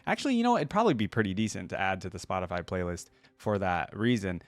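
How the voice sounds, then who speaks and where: Guy with a lower voice doing casual reaction commentary, thinks out loud, really dry close sound. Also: expressive, quick pace, dry recording. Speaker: reaction video host.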